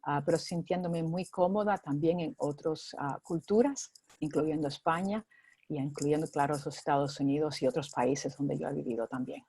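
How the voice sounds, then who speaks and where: A woman's voice: low voice, moderate pace, mellow, husky. A middle-aged woman with a Cuban accent, a guest in an interview, talking in a chatty way with frequent fillers. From Cuba. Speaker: guest in ministry. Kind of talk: conversational interview.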